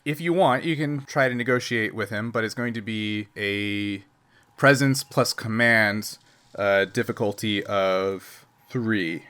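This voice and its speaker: A man's voice: on the low side, a little slow, bright, forceful tone, clean tone. A man acting as game master, explaining rules and narrating a tabletop game, often checking that he is understood.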